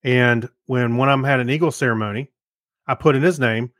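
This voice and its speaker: A man's voice: medium pitch, a little fast, a bit bright, no echo. Casual podcast man, close dry sound. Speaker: podcast co-host. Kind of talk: casual chat, personal anecdotes.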